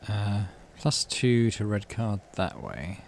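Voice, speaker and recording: A man's voice: lowish voice, expressive, slow pace, vocal fry, a little mellow, slight background noise. A man hosting a playthrough, reading game text aloud with animation and muttering offhand commentary to himself. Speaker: gaming channel host.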